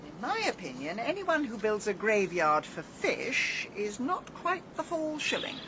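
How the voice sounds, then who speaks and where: An actress playing a bossy, impatient amateur detective in a radio drama, with an English accent and a brisk, sardonic manner. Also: female voice, mid-range voice, very animated. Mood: determined. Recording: dull sound, clear background noise.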